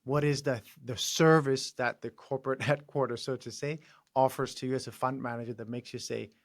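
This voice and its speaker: A man's voice: medium pitch, steady tone, fairly quick, dry recording. A man hosting a podcast, asking long, winding questions. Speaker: podcast host. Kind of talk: interview questions.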